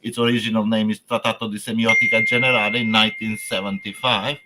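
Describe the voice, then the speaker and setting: A man's voice: on the low side, level tone, quick pace, heavy background noise. A man whose first language is Albanian gives an academic lecture in English, hesitant and searching for words, with frequent fillers and restarts.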